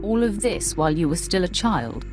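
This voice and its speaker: An actress playing a poised, deadly Sith apprentice in a sci-fi game, speaking in a measured, formal, confident manner. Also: female voice, on the low side, expressive, music in the background, natural voice. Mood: composed. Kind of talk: dramatic sci-fi video game acting.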